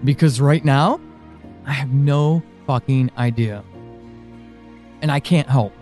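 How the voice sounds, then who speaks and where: A man playing an anxious, pleading character in an audio drama monologue, speaking earnestly and haltingly. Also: medium pitch, darkish tone, soft background music, natural voice. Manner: halting, earnest, with pauses and emphatic swings. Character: anxious, pleading man reaching out to a lost loved one.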